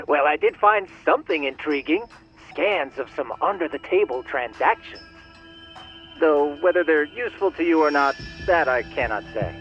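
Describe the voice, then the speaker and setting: A man's voice: on the high side, huge pitch swings, measured pace, lo-fi sound, faint music behind. An actor playing an eager, bookish male hacker in a video game drama, speaking in formal, flowery phrases. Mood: pleased, eager.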